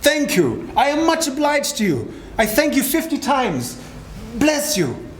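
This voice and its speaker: A man's voice: extremely high pitch, wildly swooping pitch, measured pace, exaggerated voice. A man performing a theatrical dramatic reading as an old miser who has repented and turned effusive and generous. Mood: humble, warm gratitude. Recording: echoey room with clear background noise.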